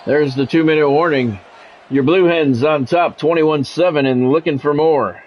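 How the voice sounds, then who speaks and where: A man's voice: a little high, moderate pace, lo-fi sound. A man doing play-by-play commentary on a football video game in a conversational way, with wry asides. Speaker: play-by-play announcer.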